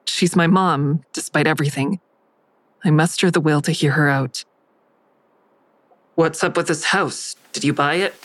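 A bitter, guarded grown daughter in an audio drama. Her voice sits low and is recorded close and dry. She speaks tersely, with a confrontational edge. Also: steady tone, unhurried, pauses often, no echo. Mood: tense, confrontational. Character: guarded, bitter adult daughter of a dying mother. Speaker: lead actor narrating and playing a daughter. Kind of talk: audio drama acting with first-person narration.

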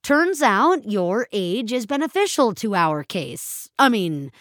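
A woman's voice: mid-range voice, sing-song, slow, dry recording, clean studio sound. A woman voicing a weary male public defender, officious and coaxing, in an audio fiction story.

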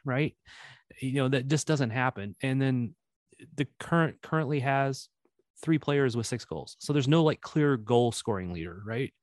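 A man's voice: mid-range voice, no room sound, studio quality. A man with a Missouri accent hosting a sports podcast in a rambling, joking, conversational way. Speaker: podcast host. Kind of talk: casual sports podcast chat.

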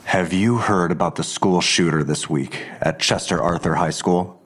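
An actor playing a menacing, suspicious police detective in an audio drama, pressing with pointed, accusatory questions. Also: male voice, sits low, moderate pace, fairly bright tone.

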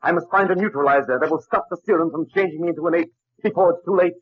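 A man's voice: a little high, very fast, over loud music, natural voice. An actor playing a driven young male scientist in an old radio drama, intense and melodramatic. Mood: desperate. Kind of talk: 1940s radio acting. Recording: muffled, dry old radio recording.

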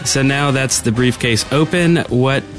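A man co-hosting a gaming podcast in casual chat, rambling and halting, easily amused. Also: medium pitch, loud music behind the voice. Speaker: podcast co-host.

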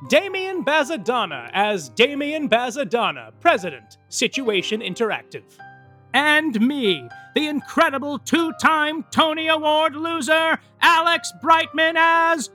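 A man delivers comic scripted narration like a grandiose announcer. His voice is bright and swings widely in pitch. Music plays faintly behind him as he reads with theatrical flair. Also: sing-song, unhurried.

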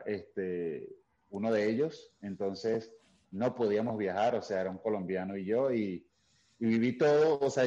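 Low-voiced Venezuelan man, lo-fi sound. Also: dark tone. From Venezuela, Caracas. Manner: storytelling, many fillers and restarts. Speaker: guest actor. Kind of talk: casual interview chat. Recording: dull lo-fi sound.

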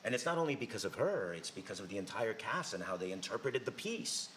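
An effusive man talks as a guest on a live podcast taping, his voice bright and forceful, a little low in pitch. He gushes and trips over restarts as he searches for words. Faint room ambience sits behind him. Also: sing-song, fast, slight background noise. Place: live event venue with audience.

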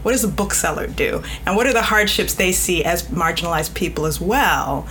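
Woman podcast guest, lowish voice, chatty and emphatic, some room noise behind her. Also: animated, fairly quick, fairly bright tone, poor audio. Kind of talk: casual interview talk.

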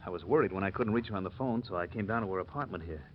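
Anxious suspect male actor, low-pitched, very quick delivery, hurried explanations, 1940s radio drama. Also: lightly acted. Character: worried, fast-talking man, a murder suspect. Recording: muffled, noisy 1940s radio recording.

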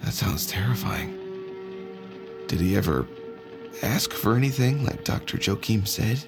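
An actor playing a fascinated interviewer, curious and slightly hesitant, prompting a storyteller in an audio drama. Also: male voice, lowish voice, expressive, measured pace, vocal fry, music in the background. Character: fascinated male interviewer listening to an old tale.

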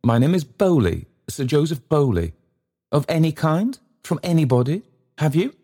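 A man voicing a pompous, stately old gentleman of self-importance in a storybook reading, halting and floundering as he speaks. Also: on the high side, sing-song, breathy edge, voice pushed up. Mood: pompous, self-important.

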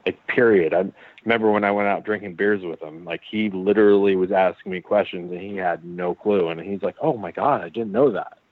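A man's voice: deep voice, fairly quick, no echo. A man co-hosting a podcast, teasing the others and swearing freely in casual chat. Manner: teasing, profane, fond of "like". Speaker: podcast co-host. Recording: dry, phone-like line.

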